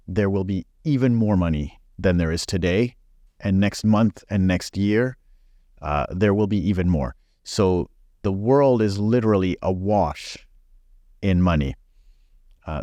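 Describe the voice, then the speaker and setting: A man's voice: sits low, a little mellow, no room sound. A man in his forties, born in Belgium, speaking frankly as a podcast guest with many fillers. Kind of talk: casual interview chat.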